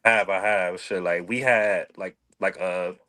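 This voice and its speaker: Deep-voiced American man in casual conversation. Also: fairly even intonation, a little slow, sharp tone, no echo. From Detroit and New York, United States. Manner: slangy, conversational. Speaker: livestream panelist. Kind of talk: casual nostalgic chat.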